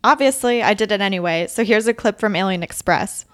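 A woman's voice: medium pitch, a little fast. A woman hosting a podcast, narrating from a script and interviewing guests.

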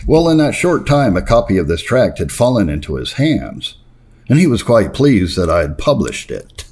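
A man with a very low, mellow voice reads a religious memoir aloud. His intonation swings widely as he tells it earnestly and emphatically. He pauses for effect and steps out of the text for brief explanatory asides. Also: very animated, husky. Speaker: reader and narrator of religious history.

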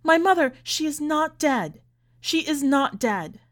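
A woman's voice: sits high, lively intonation, slow pace, fairly bright tone, pitched above the performer's own voice, exaggerated voice. A woman voicing an anguished, furious immigrant mother in an audiobook, pleading and insistent through her grief. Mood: outraged, anguished. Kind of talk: dramatic audiobook character reading.

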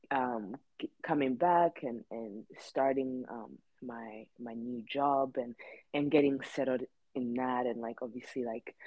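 A woman's voice: low voice, no room sound, lo-fi sound. A woman in her twenties from Rwanda, co-hosting a casual podcast chat and rambling with many fillers and restarts. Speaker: podcast co-host.

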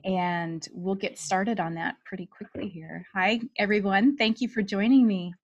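A woman's voice: medium pitch, fairly even intonation, unhurried, highs cut off. A woman with a mid-range voice hosts an interview over a video call. She sounds upbeat and welcoming as she introduces her guests and steers the conversation. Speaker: host.

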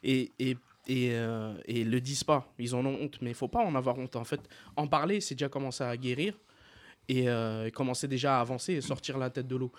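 A man's voice: medium pitch, brisk. A man in his thirties from Algeria, talking candidly as a guest in a casual conversation and telling personal stories.

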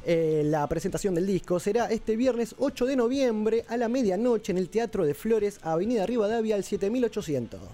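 A man hosting a radio show, reading music news in an Argentine Spanish accent with a steady announcer delivery. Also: high-pitched, normal speed, few pauses.

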